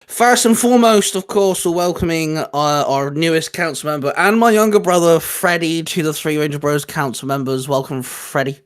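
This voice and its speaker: A man's voice: on the high side, moderate pace, hardly any pauses, clean tone, faint music behind. A young man hosting a channel, chatting casually and with enthusiasm like a keen fan. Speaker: channel host. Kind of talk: casual chat and video introduction.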